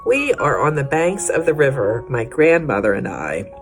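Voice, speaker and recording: A woman librarian reading a children's picture book aloud in an expressive, deliberate way, drawing out key words. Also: extremely low pitch, over loud music.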